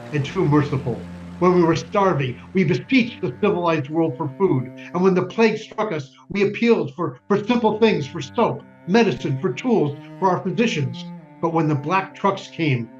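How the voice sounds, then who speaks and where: Actor as bitter male survivor-narrator, stage drama; highish voice, grave and declamatory, slightly muffled lo-fi sound. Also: natural voice. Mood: bitter, forceful. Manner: grave, deliberate, declamatory, with emphatic lists. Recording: dull, lo-fi stage recording. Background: music.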